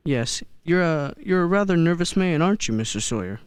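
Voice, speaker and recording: A performer playing a kindly, unflappable elderly man who believes he is Santa in a radio play, genial and gently probing. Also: mid-range voice, animated, fairly quick, clean tone, no room sound, clean studio sound, close to an everyday voice. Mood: calmly probing.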